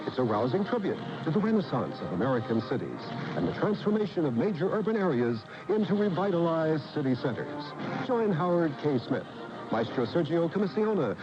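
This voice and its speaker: A man reading a scripted promotional radio announcement in a rousing, polished announcer style. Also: fairly high pitch. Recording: dull, noisy AM radio broadcast.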